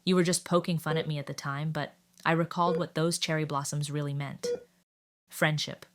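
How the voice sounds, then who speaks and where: A woman playing a proud, reserved warplane in an audio drama, measured and reflective. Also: deep voice, fairly even intonation, dry recording, natural voice.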